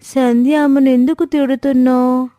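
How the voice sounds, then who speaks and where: A woman's voice: sits high, level tone, normal speed, mellow, no echo, clean studio sound, natural voice. A woman voicing an innocent, trusting, obedient little girl with a simple questioning manner in a story. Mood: innocent, curious.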